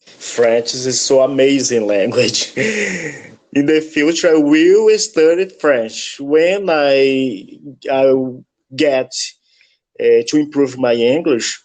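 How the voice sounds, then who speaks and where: A man with a Brazilian Portuguese accent who speaks Portuguese natively, halting and searching for words as a student in an online English lesson over a video call. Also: medium pitch, darkish tone.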